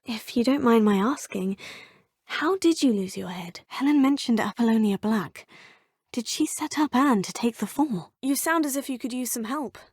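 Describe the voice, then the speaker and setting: Young female witch student; polite, musing, breathy, dry close studio sound. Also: animated, moderate pace, darkish tone, dry recording. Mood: polite, curious. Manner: polite, composed, often musing in low asides. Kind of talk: video game voice lines. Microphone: close, dry studio mic.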